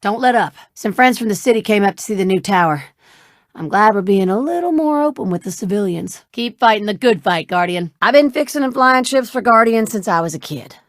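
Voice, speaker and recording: A woman's voice: mid-range voice, animated, fairly quick, no echo, studio quality, close to an everyday voice. An actress voicing a spirited young woman ship pilot and mechanic in a video game, with casual, familiar, folksy banter. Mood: casual, chatty. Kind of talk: video game character dialogue.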